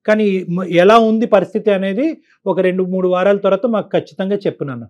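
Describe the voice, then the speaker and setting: A man's voice: high voice, quick pace, a little mellow. A man counselling on a call-in show, explaining his advice point by point and often repeating himself. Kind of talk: advisory talk to caller and co-host.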